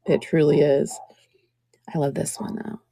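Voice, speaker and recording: Slow, gravelly-voiced woman host. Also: low voice, flat delivery, no room sound. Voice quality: scratchy. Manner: slow, chatty, drops to soft whispers while showing pieces. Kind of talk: casual live-stream selling chat.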